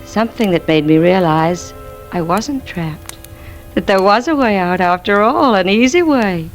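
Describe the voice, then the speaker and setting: An actress playing an embittered, intense wife who feigns madness, confessing haltingly in 1940s radio suspense style. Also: female voice, medium pitch, lively intonation, close to an everyday voice. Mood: haunted, weary. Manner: dramatic, halting confessional delivery. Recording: vintage radio recording with music.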